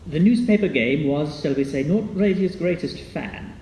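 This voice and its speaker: An actor playing a formal, wordy male commentator speaking in measured tones in a radio docudrama. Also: on the high side, lively intonation, a little mellow. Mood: dry, critical. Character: dry indignant commentator on the radio scandal. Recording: echoey, distant, faint noise.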